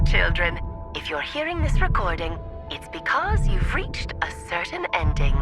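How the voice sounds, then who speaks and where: A woman playing a sly, playful sorceress in a video game, teasing and self-satisfied as she addresses her listeners. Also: medium pitch. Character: sly, playful sorceress who authored a magic book. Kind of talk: recorded message to listeners. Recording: game music behind voice.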